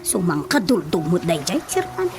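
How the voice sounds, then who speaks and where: A woman's voice: fairly low pitch, sing-song, moderate pace. A woman playing a polite, troubled villager who defers to her elders in a radio drama, with an Ilocano accent. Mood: worried. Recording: radio drama with loud music bed.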